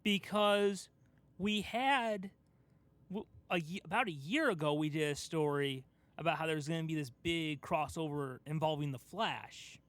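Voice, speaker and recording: A man's voice: fairly high pitch, normal speed, a bit bright, no echo. A young adult man hosting a casual pop culture podcast, talking through his argument step by step with emphasis. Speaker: podcast host.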